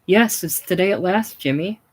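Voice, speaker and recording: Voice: medium pitch, normal speed, breathy edge, voice pushed up, close to an everyday voice. A reader doing a hearty pushy salesman. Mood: jovial. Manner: jovial, cajoling, raised and bright. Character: hearty pushy male salesman, falsely cheerful.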